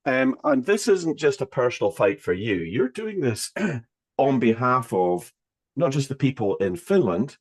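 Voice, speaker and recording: A man's voice: medium pitch, big pitch swings, a little slow. A man hosting an interview, speaking in an emphatic, rhetorical way. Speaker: interviewer, host.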